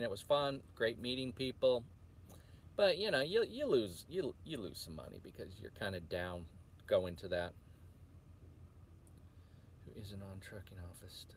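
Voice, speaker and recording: A man's voice: on the low side, slight background noise. A man chatting casually to viewers as a truck driver vlogger, rambling and often restarting his sentences in a hesitant, meandering way. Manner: hesitant, meandering, self-interrupting.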